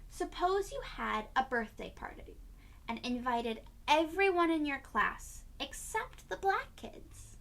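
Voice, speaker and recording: Woman reading as patient storybook mother, very slow. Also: high-pitched, big pitch swings, creaky, fairly bright tone, voice pushed up, full character voice. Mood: instructive. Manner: explanatory, instructive. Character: patient mother explaining hard things to her child. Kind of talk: children's storybook read-aloud.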